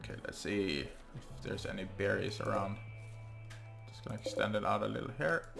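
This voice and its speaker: A young man with a Norwegian accent, English not his first language, casually talking through his gameplay and thinking aloud with frequent ums and pauses. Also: fairly low pitch, steady tone, gravelly, over music. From Norway. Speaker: gaming channel host. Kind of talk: let's play commentary.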